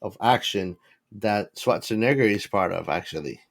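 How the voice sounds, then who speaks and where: A man's voice: fairly low pitch, animated, normal speed, gravelly, dry recording. Casual man chatting about films, dry recording. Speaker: podcast co-host. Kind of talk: casual film chat.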